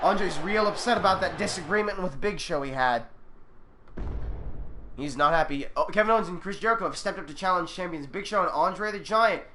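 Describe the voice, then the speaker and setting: A young man doing play-by-play commentary on a video game, excitable and bursting into shouts on big moves, his voice going hoarse and cracking into coughs. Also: high-pitched, fairly quick, a little hiss or hum. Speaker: host commentating a simulated game.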